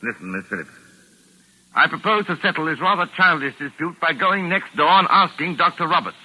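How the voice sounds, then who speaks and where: A self-important, easily exasperated male mystery writer from a vintage radio play. His voice is forceful and piercing, fairly high for a man, and swings animatedly as he speaks with fussy, formal precision. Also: expressive, fairly quick, exaggerated voice, rough recording. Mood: indignant. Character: pompous, touchy male mystery novelist dictating to secretary. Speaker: actor. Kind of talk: 1940s radio drama acting. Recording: raspy vintage 1940s radio recording.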